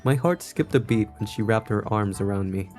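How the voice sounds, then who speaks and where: A man's voice: fairly low pitch, lively intonation, normal speed, a little mellow, soft background music. A man streaming a visual novel, reading the narration aloud expressively with playful interjections. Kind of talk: expressive read-aloud narration with live reactions.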